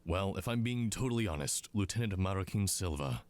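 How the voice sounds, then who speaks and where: An actor playing a smug, condescending intelligence commander in a scripted drama, talking down to people in a mocking way. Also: male voice, deep voice, lively intonation, fairly quick, darkish tone. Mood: smug, calculating. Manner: condescending, mocking, drawling asides.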